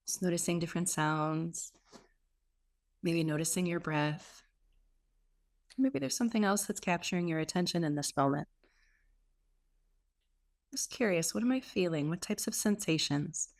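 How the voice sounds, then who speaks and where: A woman leading a guided meditation as a teacher, speaking in an unhurried way with gentle pauses between phrases. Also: fairly low pitch. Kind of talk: guided meditation, softly instructive.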